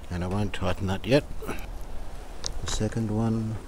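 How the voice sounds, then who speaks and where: A man from Australia narrating hands-on repair work casually, unhurried and halting as he thinks aloud. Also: lowish voice, steady tone, background noise. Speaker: radio restorer presenting his own repair videos.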